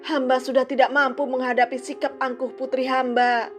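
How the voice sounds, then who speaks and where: Sad gentle old mom praying in a kids cartoon, woman voicing her, slow and solemn. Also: high voice, flat delivery, faint music behind, lightly acted. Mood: sorrowful prayer. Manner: solemn, pleading prayer. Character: grieving old mother, patient and gentle.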